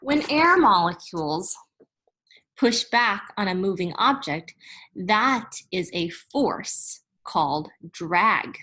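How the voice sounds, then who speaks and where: A woman reading a children's science picture book aloud like a teacher, in a deliberate, instructive way that makes each term clear. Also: mid-range voice, lively intonation, sharp tone, poor audio. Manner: deliberate, instructive, spelling out and explaining terms.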